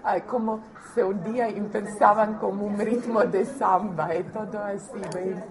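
A woman from Croatia talking casually as an interview guest, in careful, halting non-native Portuguese with occasional Spanish slips. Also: medium pitch, steady tone, a crowd in the background.

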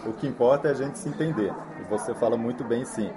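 A man's voice: medium pitch, quick pace, a crowd in the background. Upbeat male talk show host. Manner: upbeat, encouraging, clear phrasing. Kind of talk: friendly interview.

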